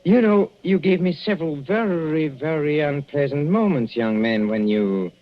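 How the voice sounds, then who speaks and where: An actor playing a gloating, murderous older professor in 1940s radio suspense style, mock-courteous and savoring every hesitation. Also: male voice, fairly high pitch, expressive, normal speed, lightly acted. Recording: muffled, dull old radio recording.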